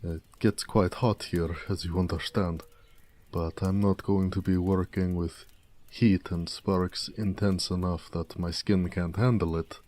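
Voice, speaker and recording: A man playing a big, muscular orc blacksmith in an intimate audio drama, proud, gentle and affectionate, with courteous, slightly formal speech. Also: low-pitched, steady tone. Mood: sheepish, considerate. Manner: unhurried, soft-spoken, courteous, slightly formal. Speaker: voice actor.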